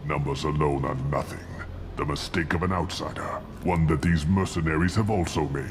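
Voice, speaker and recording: An actor playing an aged, haughty alien warlord scientist in a video game, speaking with grandiose, deliberate scorn. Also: male voice, rumbling low, background noise. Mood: proud, cold. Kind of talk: dramatic video game acting.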